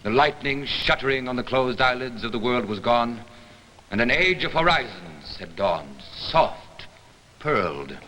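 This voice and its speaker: A man's voice: mid-range voice, lively intonation, unhurried, pushed and bright. A man giving a theatrical staged reading of poetry, as an actor would in a 1960s broadcast. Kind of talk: dramatic poetry recitation. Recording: faintly noisy hall, 1960s broadcast.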